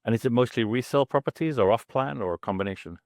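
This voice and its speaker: A man hosting an interview, asking direct questions in a casual, brisk way. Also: medium pitch, no echo, studio quality. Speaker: interview host.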